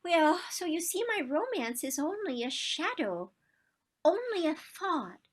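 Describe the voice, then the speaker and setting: A woman acting a wistful, romantic elderly woman in a stage play, with dramatic, deliberate delivery. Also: high-pitched. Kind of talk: one-act stage play performed on a video call.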